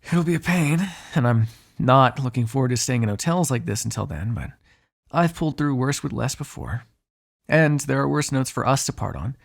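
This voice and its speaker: Male vampire, acted by a man, sardonic, grumbling, gravelly creak, animated intonation, conversational ASMR roleplay. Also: mid-range voice, moderate pace. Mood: matter-of-fact. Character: snarky, grumbling male vampire on the run, sardonic and foul-mouthed.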